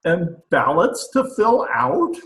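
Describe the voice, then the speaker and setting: A man's voice: high-pitched, big pitch swings, slow, fairly bright tone, reverberant, full character voice. A man playing a pompous, blustering dictator president in an old-time radio comedy recorded over a video call. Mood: indignant. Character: pompous, excitable dictator president of a banana republic.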